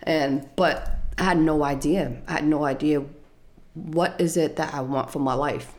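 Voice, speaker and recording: A young adult woman telling her life story in an interview, soft-spoken and hesitant, with frequent fillers. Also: deep voice, steady tone, a little slow. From United States.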